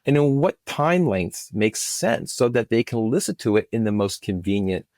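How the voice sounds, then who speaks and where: Guy with a lowish voice doing an interview, explaining stuff, restarts his sentences a bit, pretty dry sound. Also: animated, normal speed, darkish tone, no room sound. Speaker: producer, interview guest.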